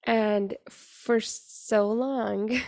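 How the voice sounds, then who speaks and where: A young woman with a raspy voice, hosting a casual solo podcast late at night and chatting in a rambling, confiding way. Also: a little high, steady tone, very slow, a little mellow, no echo, clean studio sound. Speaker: podcast host.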